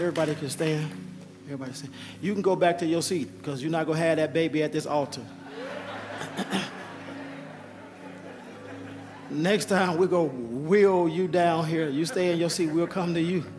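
A man who is a pastor giving an altar call and announcements to his congregation, with a hoarse voice he says he keeps losing. He talks in a coaxing, repetitive preaching manner. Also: on the high side. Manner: urgent repeated coaxing, preacherly. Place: church sanctuary. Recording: live church sound with music and crowd. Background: music and congregation.

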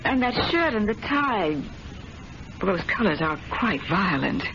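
Fussy middle aged housewife, prim n disapproving, lively pitch, noisy old radio play. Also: female voice, a little slow, dull audio. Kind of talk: old-time radio drama acting.

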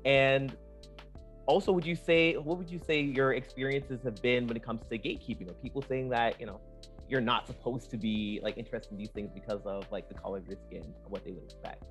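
A man's voice: medium pitch, steady tone, fairly quick, soft background music. A man hosting a podcast, asking interview questions casually with a few fillers. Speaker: podcast host.

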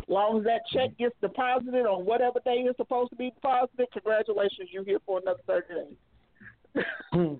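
A woman's voice: a little high, normal speed, no echo. A woman in her thirties, a single mother, talking bluntly and laughing often in a casual call-in chat. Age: 30s. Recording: dry tinny phone line.

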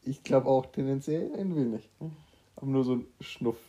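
A man's voice: mid-range voice, normal speed, mellow. A man co-hosting a guessing game in casual banter, with dry, mock-formal answers. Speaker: co-host playing a guessing game.

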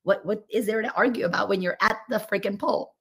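A woman coach whose voice is raspy after laryngitis, talking earnestly and expansively over a remote call. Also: mid-range voice, fast. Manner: earnest and expansive, building on images. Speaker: coach and guest. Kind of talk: casual spiritual podcast chat.

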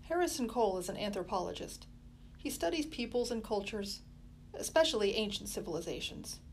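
A woman's voice: mid-range voice, big pitch swings, brisk, a little hiss or hum. A woman doing a polite, well-spoken boy. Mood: earnest.

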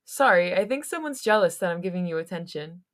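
A man's voice: sits high, animated, fairly quick, a bit bright. Woman reading as a playful young boyfriend.

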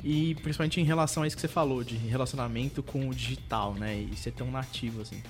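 A man's voice: mid-range voice, steady tone, fast, faint music behind. A young man with a Brazilian accent chatting casually and informally as a podcast co-host. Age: young adult. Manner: informal, slangy. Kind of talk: casual chat.

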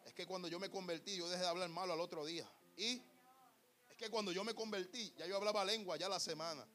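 A man's voice: high-pitched, quick pace, pushed and bright, soft background music. A young man preaching a fervent sermon, repeating words and calling the congregation beloved. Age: young adult. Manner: fervent, repetitive, calls listeners "amado". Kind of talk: Pentecostal sermon.

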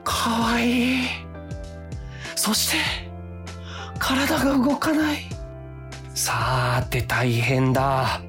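Earnest would-be hero office worker. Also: male voice, very high-pitched, fairly even intonation, drawn-out words, pauses often, fairly bright tone, faint music behind, exaggerated voice. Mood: flustered. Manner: dramatic inner monologue, earnest. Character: earnest, flustered male office worker playing hero against laziness. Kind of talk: radio drama acting and first-person narration.

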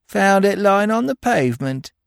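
A man's voice: high-pitched, expressive, moderate pace, creaky, clean tone, no echo, clean studio sound, voice pushed up. A man voicing a sullen, cunning and cheeky petty thief in an audiobook, with whining, defiant, slangy delivery. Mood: sullen, wary. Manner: whining, indignant, defiant, colloquial grammar. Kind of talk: audiobook character voice.

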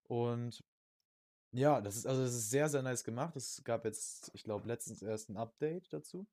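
A man's voice: mid-range voice, normal speed, warm tone, no echo, clean studio sound. A young man chatting casually as a podcast host about video games, peppering his talk with youth slang and English gaming words. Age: young adult.

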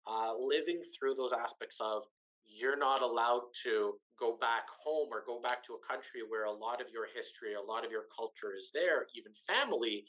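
A man's voice: medium pitch, fairly bright tone, rough recording. A man talking in a long-form interview over a video link, explaining things conversationally and rephrasing for emphasis. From Canada, Ukrainian diaspora family. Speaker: interview guest, businessperson.

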